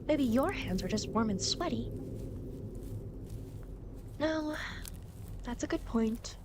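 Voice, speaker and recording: Casting: actress as smug, playful female demon guide for ASMR roleplay, high voice with lively swings, teasing and easily affronted. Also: slow pace, noisy background. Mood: indignant, then curious. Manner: teasing, smug, mock-indignant.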